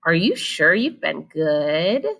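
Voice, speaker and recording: A woman's voice: sits low, big pitch swings, slow, gravelly, fairly bright tone, exaggerated voice. A woman voicing a skeptical, knowing little sister cat in a children's storybook, with a pointed, doubting manner. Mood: skeptical, smug.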